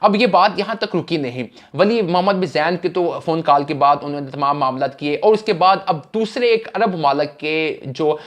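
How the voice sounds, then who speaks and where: A man's voice: a little high, normal speed, hardly any pauses, a bit bright. A man giving spoken news commentary, formal, addressing viewers directly, with frequent restarts and fillers.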